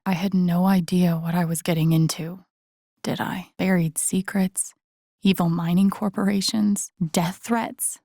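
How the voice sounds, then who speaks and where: A young video game heroine, earnest and self-doubting, musing to herself in an understated way. Her voice is breathy and husky with a gravelly fry, recorded very dry and close. The delivery is naturalistic, introspective and wry. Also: mid-range voice, mellow, dry recording. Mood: defeated, worried. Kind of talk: naturalistic video game voice acting, inner monologue.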